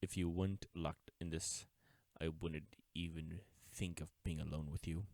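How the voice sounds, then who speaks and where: Guy doing an uptight govt agent for audio roleplay, slow deliberate talk, close dry sound, smug but mourning an old friend. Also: sits low, creaky, a little mellow, no echo. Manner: formal, deliberate, taunting with wistful undertones. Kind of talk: scripted audio roleplay drama, addressing the listener.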